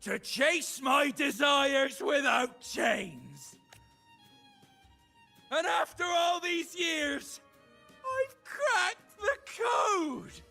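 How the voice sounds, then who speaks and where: A manic, greedy pirate villain from a game, shouting in a very high, strained pitch. He draws his words out with theatrical gloating and cackles, over music. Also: very animated, drawn-out words, vocal fry, heavily acted. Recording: music behind the voice.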